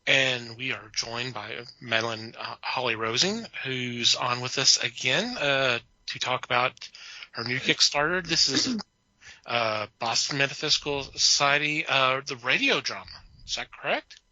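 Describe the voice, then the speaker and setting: A man hosting a podcast in casual chat, speaking haltingly and often repeating himself. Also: medium pitch, sharp tone, no room sound. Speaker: podcast host. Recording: dull lo-fi voice track.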